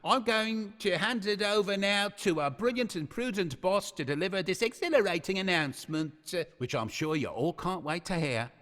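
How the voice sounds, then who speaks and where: A man's voice: high voice, moderate pace, hardly any pauses, a bit bright. A man playing an officious, fawning factory manager making an announcement in a radio play. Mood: officious, ingratiating. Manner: officious, ingratiating. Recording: reverberant assembly hall sound.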